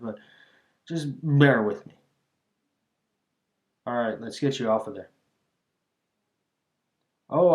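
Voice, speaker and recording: A man performs a bandit gang leader with a mid-pitched male voice. He is cocky yet oddly friendly, talking straight to the listener in loose, folksy slang. The delivery is a teasing, chatty ASMR roleplay monologue. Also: a little mellow, close to an everyday voice.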